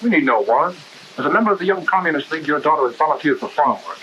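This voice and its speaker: An actor playing a curt, unyielding communist officer in a 1960s propaganda film, clipped and officious. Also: male voice, on the high side, lively intonation, rapid-fire, pushed and bright, dull audio. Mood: curt, commanding. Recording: hissy old film soundtrack.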